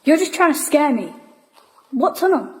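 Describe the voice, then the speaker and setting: A woman's voice: high-pitched, big pitch swings, normal speed, in a large hall. A woman playing a sceptical, curious wartime child who is blunt and challenging, in an audio drama.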